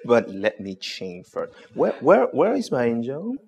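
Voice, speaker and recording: A man's voice: mid-range voice, wildly swooping pitch, a little slow, vocal fry, a little mellow, heavily acted. A man playing a husband who teases his wife, then scolds her for upsetting his daughter. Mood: teasing. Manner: teasing, then indignant and scolding. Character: playful husband turned protective, scolding dad. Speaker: husband and father.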